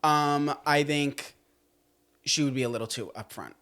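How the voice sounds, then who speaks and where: A woman's voice: low voice, a little slow, a bit bright. A young woman co-hosting a podcast, chatting casually and telling stories with lots of filler words. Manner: chatty, many "like" fillers, storytelling. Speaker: podcast co-host. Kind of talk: casual chat.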